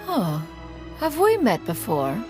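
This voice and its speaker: A woman's voice: mid-range voice, huge pitch swings, slow. A woman voicing a proud, composed immortal adept in a video game, speaking in an imperious, archaic, formal way. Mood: composed, intrigued. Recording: music playing behind the voice. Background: background music.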